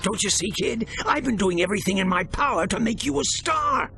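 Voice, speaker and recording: A man's voice: high voice, expressive, a little fast, gravelly, exaggerated voice. A man voicing a greedy, scheming alien media mogul villain in a video game, with bombastic, theatrical sales patter. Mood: scheming, boastful. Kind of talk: video game cutscene acting. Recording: loud music behind the voice.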